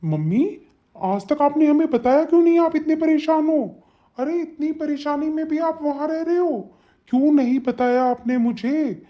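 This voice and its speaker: A woman's voice: normal speed, close to an everyday voice. A woman voicing a devoted, protective adult son in a melodramatic family cartoon, with an emphatic, insistent manner. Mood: upset, indignant. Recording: dull, low-fidelity sound.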